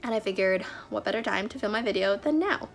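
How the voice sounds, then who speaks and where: A woman's voice: medium pitch, expressive, fast, vocal fry. A young woman vlogging casually, sounding a bit nasal from a cold, chatty with frequent fillers. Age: young adult. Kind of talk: casual vlog talk.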